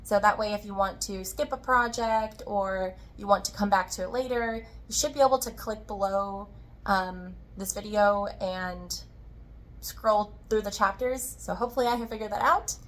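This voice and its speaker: A woman hosts a casual craft vlog in a friendly, chatty manner. Her mid-pitched voice sounds a little hoarse and worn, as if getting over being sick. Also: moderate pace, fairly bright tone. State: slightly hoarse, tired-sounding from recovering from illness. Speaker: podcast host, yarn dyer and shop owner. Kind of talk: casual crafting video chat.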